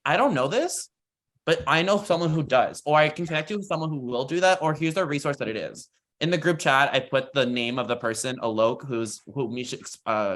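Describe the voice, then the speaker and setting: A man's voice: medium pitch, a bit bright. An American man speaking informally on a workshop panel, with an earnest, encouraging manner. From United States, Florida and rural Texas. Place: online video call.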